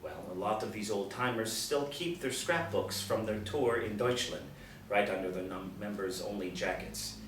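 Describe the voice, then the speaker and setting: Resolute military lieutenant read by a man, low register, matter-of-fact, recorded at a distance. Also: normal speed, fairly bright tone, lightly acted. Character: resolute male military lieutenant hunting old Nazis. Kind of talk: scripted table reading. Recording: roomy, distant mic, light room noise.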